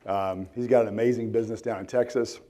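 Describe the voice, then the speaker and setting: A man in his forties with a worn-out, hoarse voice, speaking casually at a conference and filling pauses with lots of ums and restarts. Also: on the low side, steady tone, mellow. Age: 40s. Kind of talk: live conference talk. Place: conference hall.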